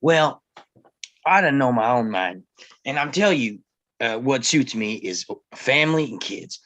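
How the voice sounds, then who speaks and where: An actor playing a guarded, gruff Old West lawman in a table read, speaking tersely and grudgingly with some hesitation. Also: mid-range voice, lively intonation, a little slow, fairly bright tone. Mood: exasperated, earnest. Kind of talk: live table read acting of a western screenplay.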